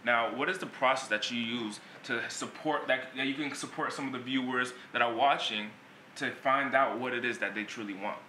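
A man's voice: mid-range voice, normal speed, bright, forceful tone. A man hosting an interview show, asking eager, affirming questions. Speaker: show host. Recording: echoey room with faint ambient noise.

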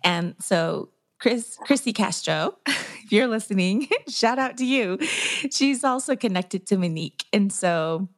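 A woman's voice: mid-range voice, expressive, measured pace. A woman hosting an interview in a conversational style, speaking in an affirming, effusive manner. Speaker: host. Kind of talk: conversational guest introduction.

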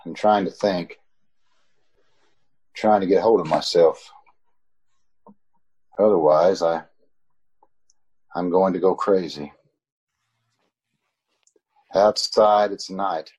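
A man playing a distraught, haunted young traveler in an old-time radio drama, with a husky, halting delivery. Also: sits low, a little mellow, full character voice. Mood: haunted, brooding. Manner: halting, stammering.

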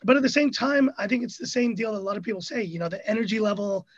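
Husky American-sounding man, high voice. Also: racing, no echo, highs cut off. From Hong Kong. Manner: chatty, rambling, jokey asides. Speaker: podcast guest. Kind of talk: casual interview chat.

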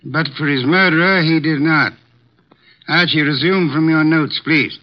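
A man's voice: a little high, unhurried, lightly acted. An actor playing a pompous, commanding male detective in a 1950s radio drama, speaking in formal, pedantic sentences, on a muffled old broadcast recording. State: short of breath.

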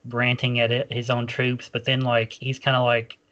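A man at middle pitch, chatting casually as a podcast co-host. His speech wanders and leans heavily on "like". The recording sounds a little dull and lo-fi. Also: monotone, normal speed, fairly bright tone. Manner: rambling, frequent "like" fillers and restarts. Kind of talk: casual fan discussion.

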